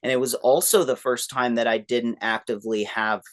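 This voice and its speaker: A man's voice: medium pitch, steady tone, moderate pace, fairly bright tone. A man in his thirties, a musician guest, talking casually with many fillers and restarts. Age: 30s. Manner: rambling, self-deprecating, many fillers and restarts. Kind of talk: casual interview chat.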